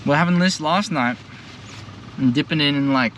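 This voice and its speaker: A man's voice: on the high side, moderate pace, fairly bright tone. A young Australian man chatting casually in a travel vlog, laid-back and full of filler words, recorded outdoors by a road. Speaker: travel vlogger. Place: outdoors by a road while driving a tuk-tuk. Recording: outdoor recording with clear traffic noise. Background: traffic and vehicle noise.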